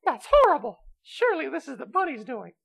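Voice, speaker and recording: A woman acts out a wicked little immortal kitty in an amateur radio comedy. The voice sits high and leaps around, brash and excitable, with a childish whine and a scatterbrained air. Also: brisk, vocal fry, heavily acted. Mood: indignant, puzzled.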